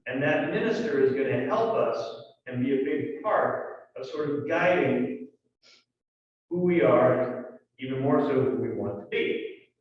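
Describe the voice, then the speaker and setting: A man giving church announcements in a relaxed, conversational way, with fillers and asides. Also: medium pitch, unhurried, long pauses. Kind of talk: congregational announcements. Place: large church sanctuary. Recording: echoey hall, distant dull mic.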